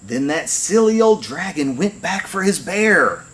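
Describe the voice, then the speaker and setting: Animated male storyteller, unhurried delivery. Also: on the high side, a little hiss or hum. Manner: animated storytelling, deliberate phrasing. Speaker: firefighter reading to children. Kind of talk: expressive rhyming picture-book read-aloud.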